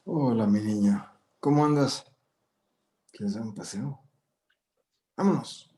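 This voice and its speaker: A man playing a stern, gentlemanly old self-appointed lawman in a screenplay table read, terse and commanding. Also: medium pitch, lively intonation, unhurried, close to an everyday voice. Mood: affectionate. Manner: commanding, terse, switches into Spanish.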